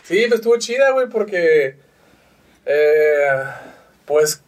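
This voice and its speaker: Slangy northern Mexican man, higher voice. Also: expressive, slow pace. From Chihuahua, Mexico. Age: 30s. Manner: slangy, laid-back, swears freely. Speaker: podcast guest. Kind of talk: casual chat.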